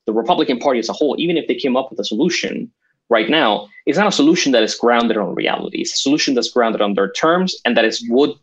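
A man's voice: mid-range voice, lively intonation, fast, a bit bright, husky. A man from Venezuela talking passionately about politics, with frequent restarts and fillers. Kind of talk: political discussion.

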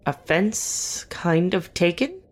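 An actor playing a weary, guarded veteran team leader in an audio drama, speaking earnestly and haltingly with dry wit. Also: mid-range voice, big pitch swings. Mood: dry, defensive. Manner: earnest, halting, self-correcting, dryly wry. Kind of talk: naturalistic audio drama dialogue.

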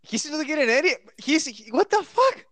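A woman's voice: high-pitched, big pitch swings, moderate pace, fairly bright tone, no room sound. A woman chiming in on a casual group chat, blunt and profane.

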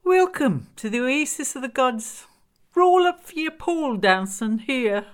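Woman doing a brash bossy neighbour lady for an audiobook, voice pushed up high, emphatic, easily scandalized. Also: animated, measured pace. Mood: indignant, scandalized. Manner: emphatic, exclamatory, pushy.

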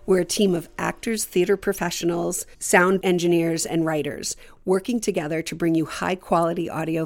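A woman's voice: on the low side, creaky, dry recording, studio quality. A woman who creates the show, reading a scripted appeal to listeners.

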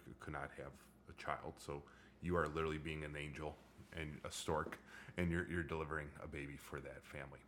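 A middle-aged man with a low voice hosting a casual chat, joking and rambling with many restarts. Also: a little hiss or hum. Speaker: podcast host.

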